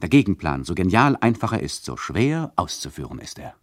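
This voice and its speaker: Condescending, animated radio play narrator, deep male voice. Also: a little fast, dry recording, studio quality. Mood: mock-solemn. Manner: mock-solemn, condescending, directly addressing listeners. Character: mocking, bossy male radio narrator lecturing his listeners.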